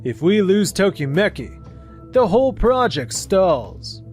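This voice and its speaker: A man playing a stern, slow-talking company boss. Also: high voice, big pitch swings, a little mellow, soft background music, full character voice. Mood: stern, measured. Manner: deliberate, pointed, reproving. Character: stern, measured male company superior questioning a subordinate. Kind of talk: scripted manga dub voice acting.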